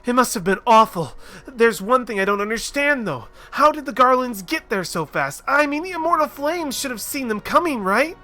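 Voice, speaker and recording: An eager young male scholar as a man puts him on, pitched well above normal speech, asking questions with a keen rising lilt. The tone is bright and clear. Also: animated, normal speed, exaggerated voice. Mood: curious.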